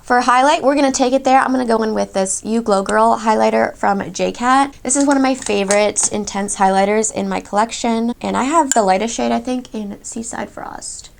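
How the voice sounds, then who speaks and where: A chatty woman in her thirties reviews makeup as she applies it, gushing over each product. Her voice sits a little high and breaks into a gravelly fry, and she sounds slightly congested, getting over a cold. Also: a little fast, hardly any pauses. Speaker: beauty vlogger. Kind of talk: makeup tutorial.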